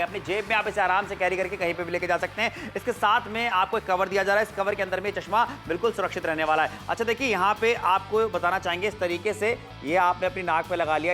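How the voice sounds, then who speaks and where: Bright, rapid-fire male teleshopping host. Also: sits high. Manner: energetic, repetitive, urging viewers to call. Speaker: home shopping host. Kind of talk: live teleshopping sales pitch. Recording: faint music under studio voice.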